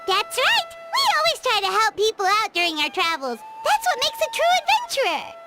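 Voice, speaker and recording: A woman voicing a tiny, excitable, childlike fairy companion in a fantasy video game, with a perky, emphatic, cheerfully boastful delivery. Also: very high voice, a little slow, heavily acted. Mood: proud, cheerful. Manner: perky, emphatic, speaks of herself in third person. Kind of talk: scripted anime-style game dialogue. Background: loud music behind the voice.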